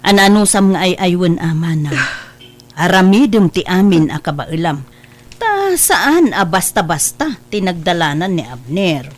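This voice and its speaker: A woman playing a warm, counselling younger sister and mother in an audio drama, coaxing and expressive, with an Ilocano accent. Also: on the low side, a little slow, soft background music. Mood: earnest, advising.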